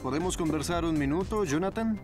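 A man's voice: fairly high pitch, fairly quick, over music, lightly acted. A man voicing a polite, mild fashion photographer in a cartoon dub. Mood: polite, friendly. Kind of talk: animated series dubbing.

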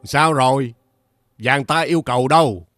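A man playing a greedy, scheming rich old father in an animated fairy tale, pompous and drawling with hesitant filler sounds. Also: mid-range voice, normal speed, lightly acted. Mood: angry, domineering. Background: background music.

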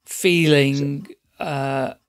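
A man hosts a podcast interview. His voice sits somewhat high for a man. He speaks in a measured, encouraging way, with fillers and small restarts. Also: fairly even intonation, smooth. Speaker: podcast host.

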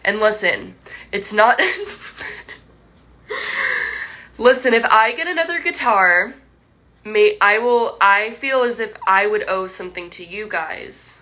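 A woman's voice: mid-range voice, expressive, slow, pauses often, bright, forceful tone. A young woman livestreaming from home, chatting to fans in a rambling, laughing way full of likes and restarts. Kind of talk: casual chat with viewers. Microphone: phone livestream.

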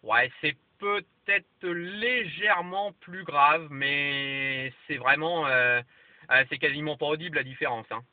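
A man's voice: on the high side, slow pace, slight background noise. A man chatting casually as a radio operator and giving signal reports. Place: parked vehicle. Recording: lo-fi camera audio, muffled, dry.

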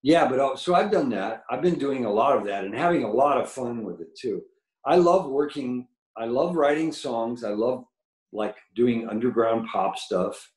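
An older man with a low baritone voice, chatting as a guest musician over a video call, rambling and enthusiastic with many fillers. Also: lively intonation, moderate pace, darkish tone, roomy sound, poor audio. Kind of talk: casual interview chat. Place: home room with painted mural, video call. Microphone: video call webcam.

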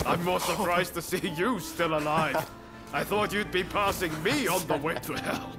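Earnest, higher-voiced young samurai reformer. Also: male voice, moderate pace. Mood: relieved, playful. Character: earnest, idealistic young male samurai reformer, easygoing yet passionate. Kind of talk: dramatic video game cutscene acting. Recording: clear background music.

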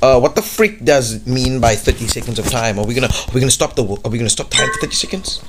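A man's voice: medium pitch, animated, fast, noisy background. A young man casually commentating a mobile game, rambling and joking in slangy chat. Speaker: gaming YouTuber.

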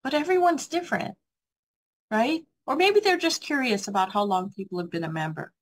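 A woman's voice: on the high side, expressive, normal speed. American woman, motivational seminar speaker over a video call, earnest and encouraging. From USA. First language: English. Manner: earnest, encouraging, asks the audience rhetorical questions. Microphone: video call mic.

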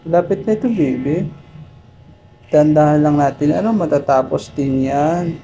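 A man's voice: fairly high pitch, measured pace. A man working as a barber, coaxing a small child casually with patient, soothing, repeated short reassurances. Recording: dull, noisy phone recording.

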